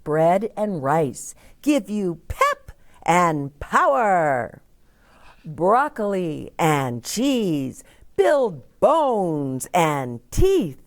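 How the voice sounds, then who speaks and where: Female children's storyteller, slightly low register, dramatic and very animated delivery. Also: no echo, clean studio sound. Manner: deliberate, dramatic, with asides to young listeners. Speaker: storyteller reading children's books aloud. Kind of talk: expressive children's read-aloud.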